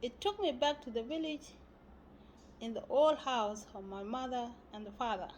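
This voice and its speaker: A woman from South Sudan giving a spiritual talk, often pausing and searching for words. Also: fairly high pitch, a little mellow, a little hiss or hum. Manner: halting, searching for words with many fillers. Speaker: spiritual teacher.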